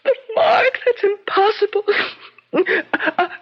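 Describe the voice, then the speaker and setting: An emotional, easily astonished colonial woman in an old radio play. Her voice is extremely high and her pace slow, with a breathless melodramatic air. The sound is dull and vintage. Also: exaggerated voice. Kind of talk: old-time radio drama acting.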